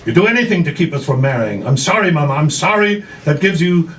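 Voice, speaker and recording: A man's voice: fairly high pitch, lively intonation, fast talker, a bit bright, pitched above the performer's own voice. A man voicing a headstrong, defiant young daughter in a live dramatic reading of a novella. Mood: defiant, pleading. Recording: distant mic, noisy live room.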